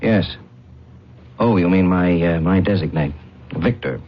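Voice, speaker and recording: Solemn, halting alien explorer, muffled radio sound. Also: male voice, low-pitched, a little hiss or hum. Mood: guarded. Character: earnest, disoriented male alien explorer from another planet. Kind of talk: radio drama acting with first-person narration. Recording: dull vintage radio broadcast recording.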